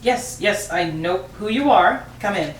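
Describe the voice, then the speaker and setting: A woman's voice: medium pitch, lively intonation, measured pace, natural voice. A woman playing a friendly, chatty factory boss in an amateur table read, talking in an upbeat, rambling way. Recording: roomy, distant mic, faint noise.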